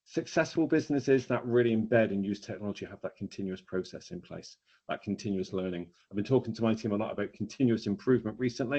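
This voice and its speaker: A man's voice: on the low side, darkish tone. A man presenting a business training webinar in a conversational, explanatory way, stressing key words as he goes. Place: online webinar. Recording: dull, lo-fi online webinar audio.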